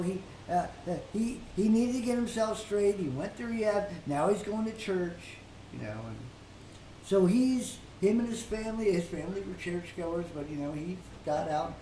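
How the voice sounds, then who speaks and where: An older woman giving informal Bible teaching as a story, in a folksy, halting way with repeated words and frequent pauses. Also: medium pitch, warm tone. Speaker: preacher telling a story. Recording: roomy, distant mic with faint noise.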